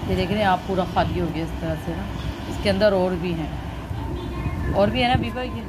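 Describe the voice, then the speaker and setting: A woman's voice: mid-range voice, a little fast. A woman vlogger chatting casually to her viewers as she shows them things at home. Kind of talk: casual home vlog chat. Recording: noisy background.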